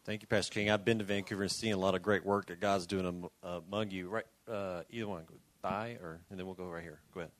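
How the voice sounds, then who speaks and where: Male moderator, brisk pace, lowish pitch, casually steering a panel discussion and audience questions. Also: fairly even intonation.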